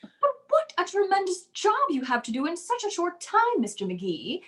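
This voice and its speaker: A woman's voice: very high voice, huge pitch swings, moderate pace, a bit bright, heavily acted. A woman playing a haughty, well-bred society lady with formal, pompous diction in an old-time radio comedy. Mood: gracious, formal.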